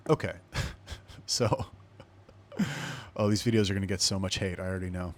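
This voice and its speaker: A man's voice: deep voice, lively intonation, normal speed. A young man from Los Angeles, hosting a podcast and telling a long personal story in a casual, profane, digressive way. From Los Angeles, USA. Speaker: podcast host.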